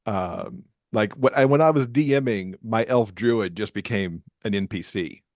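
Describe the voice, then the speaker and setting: A man's voice: fairly low pitch, lively intonation, moderate pace, no echo, studio quality. A man speaking as a podcast guest and author in casual conversation. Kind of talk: casual chat.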